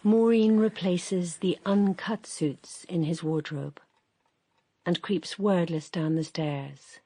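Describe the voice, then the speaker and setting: Calm woman narrating a radio drama. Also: fairly low pitch, takes it slowly, no echo.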